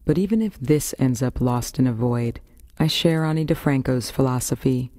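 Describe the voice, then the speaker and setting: A woman's voice: extremely low pitch, normal speed, mellow, no room sound, studio quality. A woman with an American accent, hosting a variety radio show with scripted first-person storytelling. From Florida, United States.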